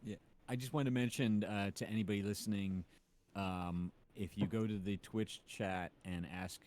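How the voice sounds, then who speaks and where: A man's voice: fairly low pitch, steady tone, normal speed, a little mellow. A man hosting a podcast stream in casual conversation. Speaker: podcast host. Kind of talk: casual chat. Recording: faint background noise.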